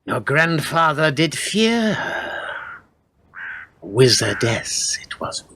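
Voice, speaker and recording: Man playing cruel old-world lord for a radio drama, slow and menacing, swings up and down a lot. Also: a little high, very animated, bright, forceful tone, a little hiss or hum, full character voice. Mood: grim, commanding. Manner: archaic, theatrical, menacing speech. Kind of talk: radio play acting.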